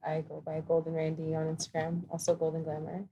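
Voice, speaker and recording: A woman from Toronto introducing herself flatly as a panel guest. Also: sits low, quick pace, a little hiss or hum, poor audio.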